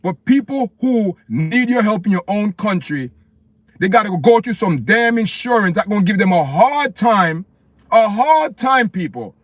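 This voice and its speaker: A man's voice: high-pitched, lively intonation, measured pace, no echo. A man from Jamaica with a Jamaican accent, ranting passionately on a livestream to his viewers and repeating his points. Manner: impassioned, repetitive, preachy, addresses viewers as "people". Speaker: livestream host. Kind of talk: opinionated live-stream rant.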